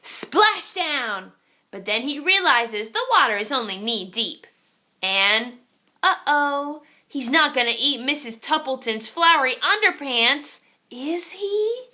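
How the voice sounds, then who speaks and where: Animated, high-voiced woman reading a children's story. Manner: theatrical, suspenseful, with drawn-out exclamations. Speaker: storyteller reading a children's picture book aloud.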